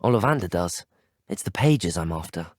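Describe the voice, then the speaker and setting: A man's voice: sits low, lively intonation, normal speed, dry recording. A young British man voicing a polite, inquisitive teenage wizard student in a video game, courteous and earnest. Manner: courteous, earnest, well-spoken. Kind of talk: scripted video game dialogue lines. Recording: dry, close studio voice recording.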